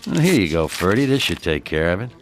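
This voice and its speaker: Cocky, low-voiced menacing tough guy. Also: sing-song, fast talker, slight background noise. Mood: smug, cool. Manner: sardonic, clipped tough-guy talk. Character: cocky, menacing tough man in a dead gangster's shoes. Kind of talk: radio drama acting.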